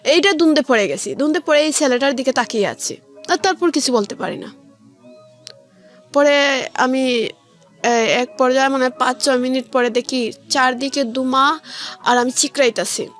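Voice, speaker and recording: A woman with a Bangladeshi Bengali accent tells a personal story in an interview, speaking colloquially and haltingly and repeating phrases. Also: high voice, soft background music. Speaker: interview guest.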